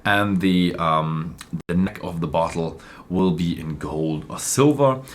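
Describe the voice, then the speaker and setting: Low-pitched man presenting informal news. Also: slow, a little hiss or hum.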